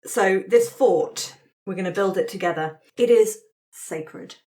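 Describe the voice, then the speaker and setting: A woman's voice: mid-range voice, a little slow, a little mellow. A woman facilitating a tabletop roleplaying game, explaining the rules to a group of friends in casual chat.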